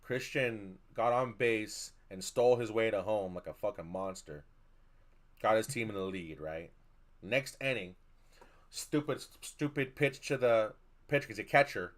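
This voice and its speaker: A man's voice: on the low side, a bit bright. A middle-aged man co-hosting a casual sports talk show, talking bluntly and swearing casually while reassuring his co-host. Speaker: podcast co-host.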